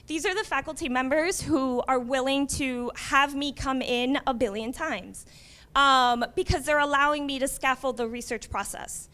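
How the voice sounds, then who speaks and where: High, smooth-voiced woman giving a talk. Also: normal speed, bright, forceful tone. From Miami, USA. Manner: conversational, with wry asides. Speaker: community college librarian. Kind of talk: conference presentation.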